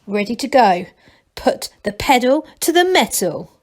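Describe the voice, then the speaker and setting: Woman voicing an eager, high-pitched young male gnome. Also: a little slow. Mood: proud. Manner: excitable, proud, trucker slang.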